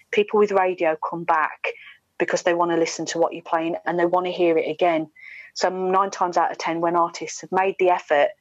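A middle-aged woman, a radio presenter, chatting in an opinionated, emphatic way as an interview guest over a video call. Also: lowish voice. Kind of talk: casual podcast interview chat. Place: small room indoors, video call. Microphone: wired earphone headset. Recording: dull, echoey video-call audio.

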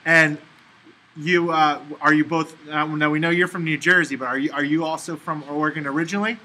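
A man interviewing university representatives and repeating the questions briskly. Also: fairly high pitch, fairly even intonation, bright, forceful tone, low-quality recording.

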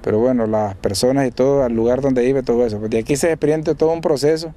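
A middle-aged police commander giving a measured, official statement to reporters outdoors at a roadside, with some halting restarts. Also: male voice, medium pitch, mellow. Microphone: handheld reporter mics.

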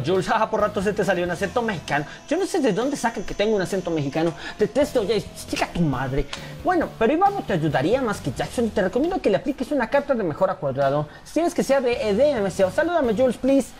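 Lively young gamer, faint music. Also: male voice, high voice, fast talker. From Colombia. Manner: animated, keeps addressing viewers as señores. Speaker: gaming YouTuber. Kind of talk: casual gameplay commentary.

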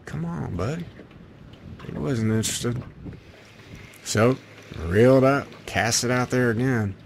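A middle-aged man talks casually through his fishing as it happens. He is enthusiastic and folksy, with a medium-pitched voice, recorded outdoors on a small boat with clear background noise. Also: expressive, slow pace. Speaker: fishing vlogger. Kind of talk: casual running commentary while fishing.

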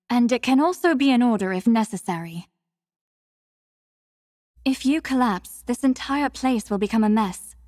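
An actress playing a devoted, composed young female warrior in a video game, speaking with formal, measured, soft courtesy. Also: a little high, no echo, studio quality, in a large hall. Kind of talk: scripted mobile game character lines.

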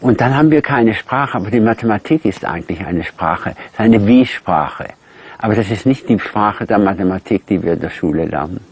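Husky man explaining in an interview. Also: lowish voice, expressive, a little fast. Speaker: interviewee, expert.

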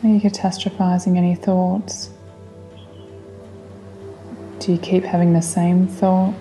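A woman guiding a meditation in a gentle, soothing, unhurried way, speaking in calm instructions. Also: on the low side, rough recording. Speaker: meditation guide. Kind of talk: guided meditation. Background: relaxing music.

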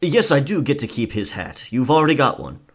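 A man's voice: mid-range voice, big pitch swings, brisk, husky. A man playing a cocky, sly pirate rogue in an audio roleplay, with theatrical, taunting and charming delivery. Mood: wry, pleased. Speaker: ASMR roleplay performer. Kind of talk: pirate ASMR roleplay acting.

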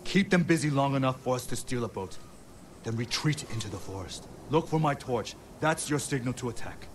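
An actor playing a blunt, wary leader of samurai fighters in a video game, with a commanding manner. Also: male voice, medium pitch, expressive, brisk, noisy background, natural voice. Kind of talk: video game cutscene acting. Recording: game music underneath.

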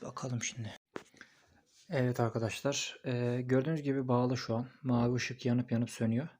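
Guy doing a casual hands-on review on his phone, normal pitch, kinda thinking out loud. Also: steady tone, moderate pace. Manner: informal, thinking aloud. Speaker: product reviewer. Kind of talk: casual hands-on unboxing and installation commentary. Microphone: phone recording.

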